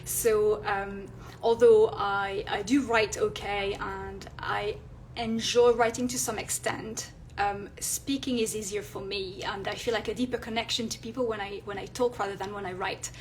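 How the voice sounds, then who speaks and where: A woman's voice: medium pitch, fairly even intonation, normal speed, rough recording. Woman with a French accent for a casual live vlog, hesitant and unpolished, slight background noise. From France. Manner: hesitant, many fillers and restarts. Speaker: illustrator and vlogger. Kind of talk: live video chat. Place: indoors at home, near a street.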